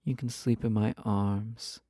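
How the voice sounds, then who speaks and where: An actor voicing a flirty, possessive husband in an intimate roleplay audio, teasing the listener with coy, mock-innocent pick-up talk. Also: male voice, lowish voice, fairly even intonation, takes it slowly, dark tone, no echo, studio quality. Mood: coaxing, flirty.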